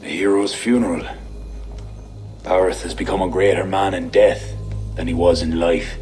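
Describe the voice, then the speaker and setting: A man playing a stern, regretful high king in a cinematic video game, speaking in a solemn, deliberate way. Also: steady tone, darkish tone, close to an everyday voice. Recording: music behind.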